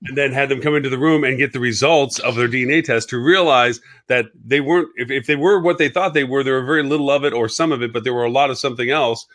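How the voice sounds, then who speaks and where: A man's voice: mid-range voice, fast, hardly any pauses, a bit bright, no room sound. A man co-hosting a live marketing show, talking casually and repeating words as he reasons things out. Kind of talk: casual live-stream discussion.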